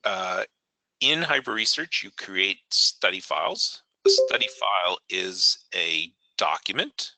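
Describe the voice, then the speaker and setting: A man hosting a software webinar, his voice gravelly and hoarse, with frequent ums and throat clearing. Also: low voice, very animated, noisy background. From New England, United States. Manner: halting, many fillers, clears throat. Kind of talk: online software tutorial. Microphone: online meeting audio.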